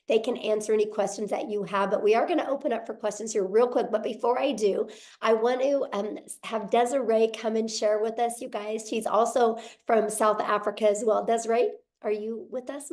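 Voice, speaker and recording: A woman hosting a live webinar, upbeat and encouraging, with quick affirmations. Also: a little high, darkish tone. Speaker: webinar host.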